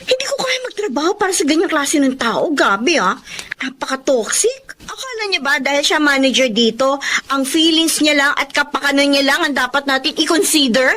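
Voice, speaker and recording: Radio drama casting: young Filipino woman employee, high voice, outspoken, emotive, faint noise underneath. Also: animated, moderate pace, pushed and bright. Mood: resentful. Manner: emotive, complaining to colleagues. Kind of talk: Tagalog radio drama acting.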